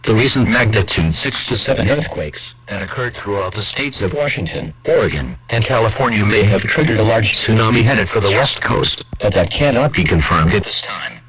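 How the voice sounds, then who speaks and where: Man, lowish voice, formal scripted alert reading, radio-like playback, loud background babble. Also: animated, normal speed, few pauses, a loud crowd, rough recording. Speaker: emergency alert announcer. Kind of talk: formal scripted emergency broadcast.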